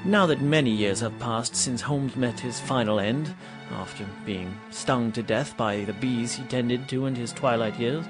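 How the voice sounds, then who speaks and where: An actor playing an earnest gentleman chronicler narrating a comic audio drama, formal and measured. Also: mid-range voice, over music, close to an everyday voice. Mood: solemn, wry.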